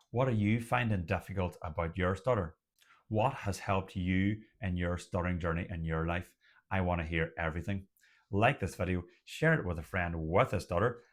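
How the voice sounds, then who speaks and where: A man who stutters at times, giving a slow, deliberate motivational talk as the host of a stuttering support channel. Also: sits low.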